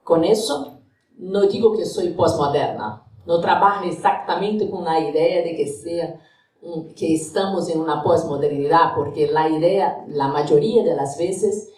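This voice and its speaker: A woman's voice: deep voice, a little slow, a little mellow. A Brazilian woman, Portuguese her first language, giving an academic lecture with a Brazilian Portuguese accent, careful and searching for words in a second language. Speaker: guest lecturer. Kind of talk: academic lecture, partly read aloud. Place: university lecture hall. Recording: echoey hall from far off.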